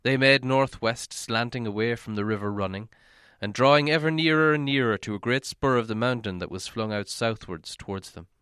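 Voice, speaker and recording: A man reading a story aloud as narration, in a measured, dramatic storytelling manner. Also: mid-range voice, a bit bright, smooth, no echo, studio quality. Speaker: audiobook reader.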